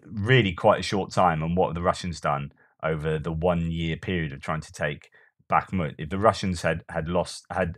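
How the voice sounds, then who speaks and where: A man's voice: deep voice, a bit bright, no echo, studio quality. A man commenting on war news in a casual, thinking-aloud way, with frequent fillers and restarts.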